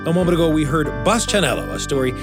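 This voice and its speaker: A man's voice: on the high side, animated, very fast, over music. A man hosting a radio show, introducing stories and chatting with colleagues in a studio.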